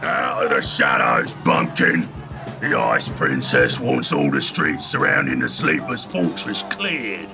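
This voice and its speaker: A huge, gruesome ogre, barking menacingly in a fantasy script read. The voice is deep and croaky, with big swings in emphasis and clear music behind. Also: male voice, big pitch swings, takes it slowly, heavily acted. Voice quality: gravelly. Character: large, gruesome male ogre enforcer, brutish and menacing.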